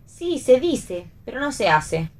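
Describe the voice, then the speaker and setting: A woman's voice: fairly high pitch, sing-song, slow, heavily acted. A woman with an Argentine accent playing a theatrical, ironic actress who needles her friend in a read radio play. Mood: playful, theatrical. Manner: ironic, playful with words.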